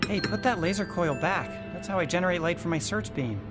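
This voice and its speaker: An anxious, earnest spaceship survivor over music. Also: male voice, sits high, animated, a little fast. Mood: exasperated. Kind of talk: video game voice acting. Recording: game music behind the voice. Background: game background music.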